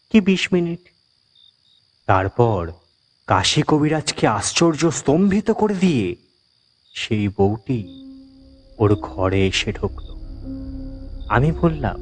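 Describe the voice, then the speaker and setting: A man narrating a scripted horror story in a dramatic, measured, suspenseful manner. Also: mid-range voice, big pitch swings, faint music behind.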